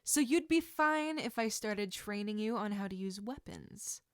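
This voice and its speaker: Woman voicing a calm, amused martial arts teacher. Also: mid-range voice, measured pace, no echo, clean studio sound, close to an everyday voice. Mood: amused, encouraging. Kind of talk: audiobook-style character dialogue.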